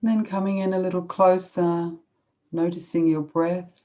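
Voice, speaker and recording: A woman with an Australian accent, gently guiding listeners through a meditation in a slow, soothing way. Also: fairly low pitch, level tone. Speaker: guest speaker leading meditation. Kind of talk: guided meditation. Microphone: video call. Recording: dull lo-fi video call audio.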